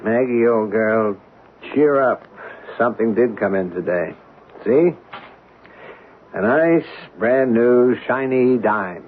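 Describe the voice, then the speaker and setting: A man's voice: medium pitch, lively intonation, slow pace, pauses often. An actor playing a down-on-his-luck trucker, weary, bitter and plain-spoken, in an old-time radio drama. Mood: wry. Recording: noisy lo-fi narrow-band radio broadcast.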